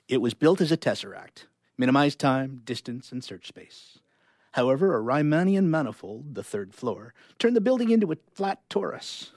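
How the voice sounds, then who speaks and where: A man performing a live reading of short stories, with expressive delivery and casual asides. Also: medium pitch, no echo, studio quality.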